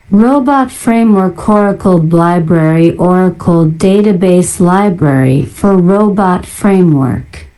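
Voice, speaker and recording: Slow, mellow woman narrator. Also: fairly low pitch, low-quality recording. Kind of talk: ASMR reading of a list of software libraries.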